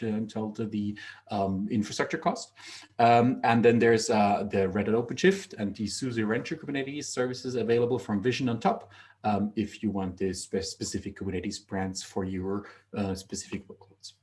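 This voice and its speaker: A man's voice: lowish voice, darkish tone. A middle-aged man, presenting his company's services in a webinar over a video call, with many ums and uhs and restarts. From Finland. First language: Finnish. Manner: frequent um and uh fillers, restarts mid-phrase. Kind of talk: product presentation.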